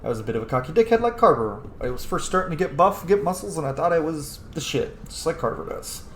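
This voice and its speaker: A man's voice: fairly high pitch, expressive, fast talker, fairly bright tone, reverberant, a little hiss or hum. A man, a young adult, hosting a gaming video with casual, sweary, emphatic commentary. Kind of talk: casual let's-play commentary.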